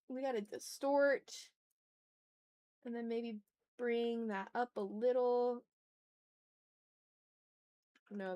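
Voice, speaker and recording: Higher-voiced woman chatting on an art stream. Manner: playful, talks to chat and thinks aloud. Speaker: art livestream host. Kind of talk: casual livestream chat while drawing.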